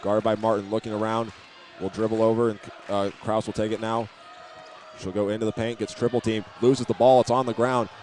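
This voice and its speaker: A man's voice: on the low side, little pitch movement, brisk, a little mellow. Guy doing live play by play of a basketball game, bit of gym noise behind him. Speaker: play-by-play sports broadcaster. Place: indoor high school basketball arena.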